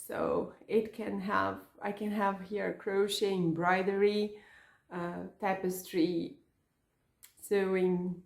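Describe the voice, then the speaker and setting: A Portuguese-accented woman whose first language is Portuguese talks slowly and casually about her knitting. She often stops and restarts words while reaching for the English. Her voice has a roomy echo around it. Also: mid-range voice, vocal fry, darkish tone. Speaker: knitting podcaster and yarn shop owner. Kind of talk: casual craft vlog chat.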